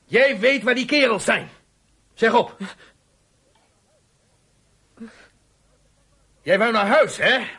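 An actor playing a stern, impatient, sceptical police interrogator in a Dutch radio drama, with a husky voice. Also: male voice, very high-pitched, measured pace, sharp tone, heavily acted. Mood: threatening, commanding.

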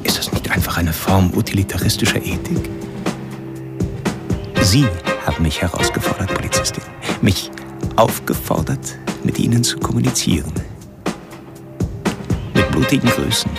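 A man's voice: low-pitched, sing-song, moderate pace, creaky, pauses often, heavily acted. An actor playing a mocking, articulate serial killer who addresses a policewoman formally and theatrically in a radio play. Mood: sardonic, menacing. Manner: formal, sardonic, theatrical, punctuated by whispery drops. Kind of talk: radio play monologue as a recorded message. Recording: music under the voice.

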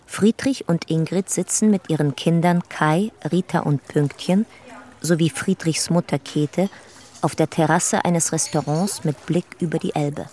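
A woman's voice: low voice, a little mellow. A woman narrating a radio drama in a sober, matter-of-fact documentary manner. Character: sober documentary narrator reading out photographs and dates. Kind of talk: scripted radio drama narration describing photographs and dates.

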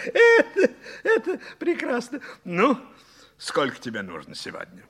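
Excitable husky banker godfather, old radio play style, swoops all over the place, bright voice. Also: very high voice, takes it slowly, full character voice. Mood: amused, indulgent. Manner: theatrical, exclaiming, chuckling, swooping between murmurs and cries. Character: jovial, excitable banker, fond godfather of an eccentric young man. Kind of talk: Soviet 1960s radio play acting.

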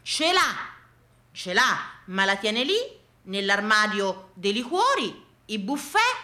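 A woman with a Tuscan accent performing a theatrical monologue, colloquial, with dramatic pauses and repetitions. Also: medium pitch, sing-song, bright, forceful tone. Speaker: stage performer, storyteller. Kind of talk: theatrical comic-poignant monologue. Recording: echoey room sound.

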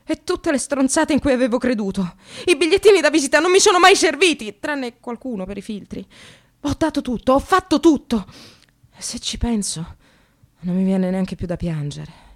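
An actress playing a sardonic, separated working mother in a radio monologue, confessional and swinging from hushed to vehement. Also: high-pitched, very animated, moderate pace. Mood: angry, wounded. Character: Sara, bitter, self-mocking separated mother in precarious work.

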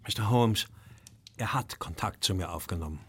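Uneasy, genteel Victorian art dealer. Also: male voice, fairly low pitch, normal speed, husky. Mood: troubled. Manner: formal, uneasy, recounting in detail. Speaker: actor in a detective radio play. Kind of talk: German radio crime drama acting.

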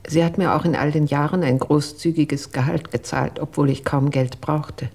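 An actress playing a reserved housekeeper giving testimony in a German radio crime drama, formal and measured. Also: female voice, low voice, fairly even intonation, darkish tone.

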